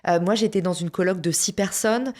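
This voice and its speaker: A French woman telling a personal story in a casual interview, lively and slangy, with many fillers and restarts. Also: mid-range voice, brisk. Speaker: podcast guest, entrepreneur.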